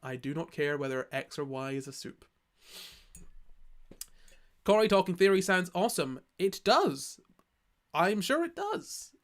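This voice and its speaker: A young man from Scotland with a Scottish accent softened by years in England, casually chatting on a live stream and reading his chat, rambling and restarting his sentences often. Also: sits high, sing-song, measured pace, pauses often. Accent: Scottish, softened by living in England. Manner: rambling, self-correcting, lots of restarts.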